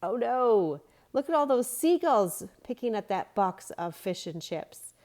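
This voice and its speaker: Woman playing an excited, high-pitched young child. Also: a little slow. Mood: excited, exclaiming. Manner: exclaiming, stretched-out excited words. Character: excited young schoolchildren at the beach.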